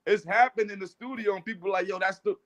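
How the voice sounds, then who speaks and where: A man with a deep baritone voice, a rapper guest chatting casually and reflectively in an interview. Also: fairly even intonation, fast, a bit bright.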